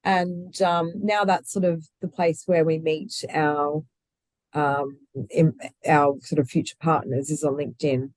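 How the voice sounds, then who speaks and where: A woman's voice: low voice, fairly even intonation, a little slow, a little mellow, dry recording, poor audio. A woman talking passionately as an interview guest, often restarting her sentences and laughing easily. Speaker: guest, recruitment founder. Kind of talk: conversational interview.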